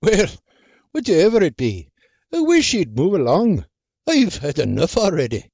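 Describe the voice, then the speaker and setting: A narrator voicing a stubborn, grumbling old farmer with a raspy, coughing voice and a gruff, evasive manner. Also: male voice, sits high, huge pitch swings, unhurried, darkish tone, dry recording, studio quality, pitched above the performer's own voice, heavily acted. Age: older.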